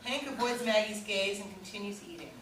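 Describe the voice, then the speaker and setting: Distant echoey recording, woman narrator, mid-pitched, reading stage directions, faint noise. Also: steady tone, fairly quick, a bit bright. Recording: echoey room, distant mic, hiss, clicks.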